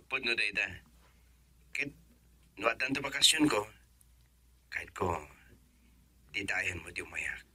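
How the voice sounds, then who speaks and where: An actor with an Ilocano accent playing a playful young man working abroad, loud and joking in a radio drama. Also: fairly low pitch, expressive, slow pace, pauses often, breathy edge, dry recording, heavily acted. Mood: affectionate.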